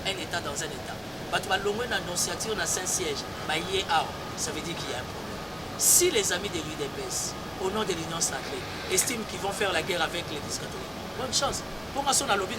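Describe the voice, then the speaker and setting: A man's voice: high voice, animated, brisk. Emphatic Congolese man over street traffic. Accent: Congolese French. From Congo-Kinshasa. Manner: emphatic, repeats key phrases, mixes in Lingala. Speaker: church spokesperson and political commentator. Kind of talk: heated political debate. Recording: loud street traffic noise.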